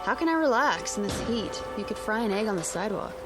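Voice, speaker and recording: Actress as young newlywed wife for radio drama. Medium-pitched, conversational, wry, impatient, fond, over a music bed. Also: female voice, lively intonation, fast talker, natural voice. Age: young adult. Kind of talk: naturalistic radio drama dialogue.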